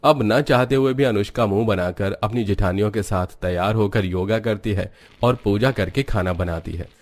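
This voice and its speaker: Male narrator for animated family tales, low register, scripted storybook delivery. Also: moderate pace.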